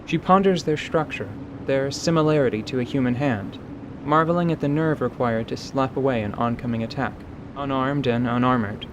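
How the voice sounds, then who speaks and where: A man giving scripted story narration for an audio drama in a measured, articulate manner. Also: mid-range voice, lo-fi sound, noisy background.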